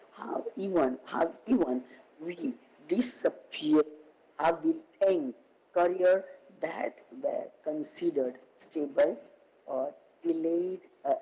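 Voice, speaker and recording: A woman with a husky voice, hoarse from a cold, giving a halting academic keynote over an online call. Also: low-pitched, dark tone, dull audio. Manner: halting, with many fillers and restarts. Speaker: keynote speaker.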